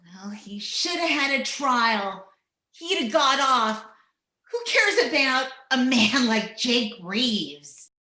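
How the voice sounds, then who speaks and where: A woman plays a bitter older ranch woman in an old-time radio western. Her voice is sharp and fairly high, and she talks slowly and bluntly in a folksy way. The room echoes around her. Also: sing-song, full character voice. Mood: bitter, threatening. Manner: blunt, folksy rural speech. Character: bitter, mean older rancher's wife, vengeful. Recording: roomy, echoing home video-call recording.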